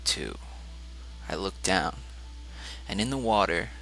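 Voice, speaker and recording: A man reading a literary short story aloud as scripted first-person narration, measured and broken into short phrases. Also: low-pitched, vocal fry, a bit bright, faint music behind, low-quality recording. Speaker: audiobook reader.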